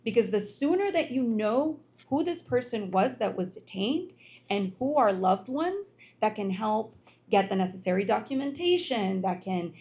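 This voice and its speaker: A woman speaking on a community panel, explaining in an earnest, measured way. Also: mid-range voice, expressive, darkish tone. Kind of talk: panel discussion on local access TV.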